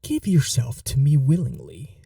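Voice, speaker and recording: A man playing a possessive, sadistic vampire lord in an ASMR roleplay, courtly, theatrical and taunting, with breathy drops into whispers. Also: medium pitch, wildly swooping pitch, normal speed, warm tone, dry recording, clean studio sound, far from the mic, full character voice. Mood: cold menace. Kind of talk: dominant ASMR roleplay acting.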